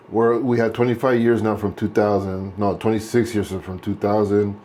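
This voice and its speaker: A man's voice: on the low side, flat delivery, a little slow, warm tone, slight background noise. A man in his late forties chatting casually while shaving, rambling and correcting himself as he goes. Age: 40s. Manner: rambling, self-correcting, laid-back. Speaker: vlogger.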